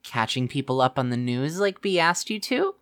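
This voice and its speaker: A woman playing a sceptical young sidekick in an audio drama, deadpan and matter-of-fact with a teasing edge. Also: very deep voice, moderate pace. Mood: exasperated. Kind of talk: audio drama acting, conversational.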